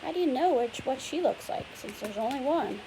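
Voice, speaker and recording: High-voiced woman reading aloud to children, hissy recording. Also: lively intonation, moderate pace. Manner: patient, explanatory, with questions to the listener. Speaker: teacher reading a picture book aloud to children. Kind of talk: read-aloud with simple explanations for young children. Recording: clear background hiss and noise.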